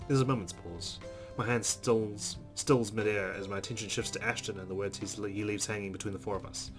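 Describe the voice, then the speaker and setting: A man's voice: on the low side, steady tone, a little fast. A man reading visual novel narration aloud as a streamer, stumbling now and then and dropping in amused asides. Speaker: let's play streamer. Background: guitar music from the game.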